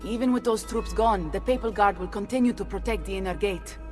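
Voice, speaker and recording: A woman's voice: medium pitch, brisk, darkish tone, lightly acted. An actress playing a proud, solemn noblewoman in a video game. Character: proud Italian noblewoman, solemn deposed ruler. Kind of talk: dramatic video game acting. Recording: clear music bed behind.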